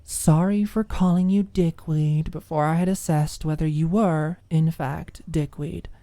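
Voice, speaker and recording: A woman's voice: low-pitched, steady tone, a little slow, dark tone, dry recording. A woman voicing a cocky, combative young college student who talks in a blunt, mocking way.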